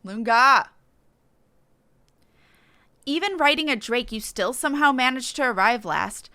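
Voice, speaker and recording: Woman acting as a scornful female warrior for a fantasy game; high, sharp-edged voice, curt orders, haughty bearing. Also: animated, a little slow, no room sound, clean studio sound, exaggerated voice. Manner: haughty, commanding. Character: brusque proud female lizard-riding warrior, scornful.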